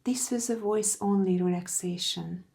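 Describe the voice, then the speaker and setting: A woman guiding a meditation in a soft, soothing, gentle voice with breathy phrase endings. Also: medium pitch. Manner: soothing, gentle, unhurried with long pauses. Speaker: meditation guide. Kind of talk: guided meditation narration.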